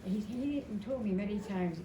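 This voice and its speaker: A woman in her seventies giving an informal, rambling and emotional personal tribute at a memorial, telling stories in a halting way. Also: medium pitch. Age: 70s. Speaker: widow speaking at a memorial. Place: indoor family memorial gathering. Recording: echoey, distant mic, noisy gathering room.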